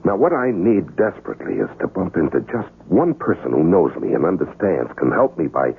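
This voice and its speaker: A man playing an earnest, lonely amnesiac in a 1970s radio drama, speaking in a measured, reflective, hesitant way. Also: deep voice, sing-song, creaky, a little hiss or hum, heavily acted. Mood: troubled, confiding. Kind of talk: 1970s radio drama acting, narration and dialogue. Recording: muffled vintage radio recording, faint music.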